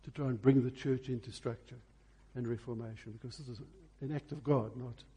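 A male preacher delivers a sermon in a dark, mellow voice with a husky grain. His pitch sits in the middle range. He phrases emphatically and deliberately, repeating key words to drive them home. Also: steady tone.

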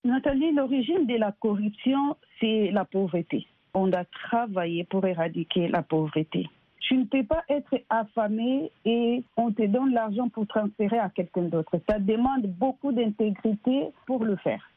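A woman's voice: on the high side, a little slow, no room sound. Earnest Congolese woman on a phone-like line. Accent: Congolese French. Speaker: interview guest, economist. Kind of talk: interview answers, explaining with personal examples. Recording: tinny, phone-like band-limited line.

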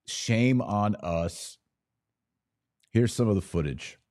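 A man's voice: lowish voice, animated, takes it slowly, a little mellow, slight background noise. A man hosting a podcast, giving casual, opinionated political commentary in a conversational way with some mocking mimicry.

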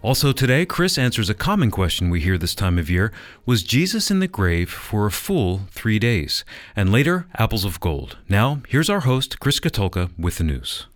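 A man's voice: on the low side, very animated, normal speed, no echo. A man working as a radio announcer, reading a scripted program introduction. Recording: voice over background music. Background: music bed.

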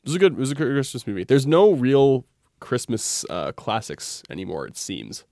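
A man's voice: mid-range voice, big pitch swings, moderate pace, warm tone, no echo, clean studio sound. A young man chatting casually as a podcast guest, wry and opinionated. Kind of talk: casual chat.

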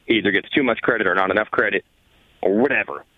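A man's voice: on the low side, fast, dry recording, narrow, tinny audio. A man in a casual sports podcast discussion, arguing his points emphatically with rhetorical questions. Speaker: motorsport podcast panelist.

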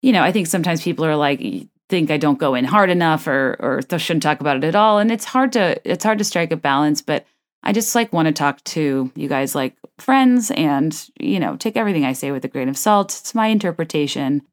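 A woman in her thirties hosting a solo podcast, chatting in a wry, rambling way with a low, soft late-night voice. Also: lively intonation, brisk. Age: 30s. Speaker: podcast host. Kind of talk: casual solo podcast chat.

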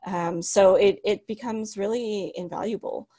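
A woman's voice: mid-range voice, steady tone, a little slow. A woman in her thirties telling her story as an interview guest, reflective and full of restarts. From United States. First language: Cantonese. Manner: reflective, many restarts and ums, laughs softly. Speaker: guest, author.

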